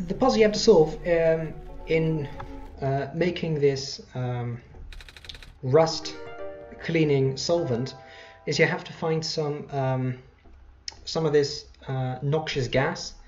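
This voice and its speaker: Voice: mid-range voice, a little mellow. Casting: gameplay host, casual and rambling, self-correcting, lo-fi dull mic with game music underneath. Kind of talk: casual let's play commentary.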